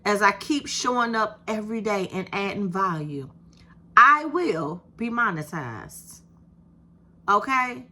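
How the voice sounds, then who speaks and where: A woman in her fifties with a soft voice, talking straight to her viewers in a casual, encouraging way and calling them "baby". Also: medium pitch, takes it slowly. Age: 50s. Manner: animated, encouraging, talks to viewers with "baby" and "you hear me". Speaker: YouTube creator. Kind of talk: casual direct-to-camera talk.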